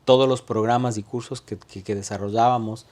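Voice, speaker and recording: A man with an Ecuadorian accent in his thirties, a guest telling his life story in an interview, pausing often on fillers and restarting phrases. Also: medium pitch, steady tone, darkish tone. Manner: hesitant, many "eh" fillers and restarts. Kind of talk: conversational interview.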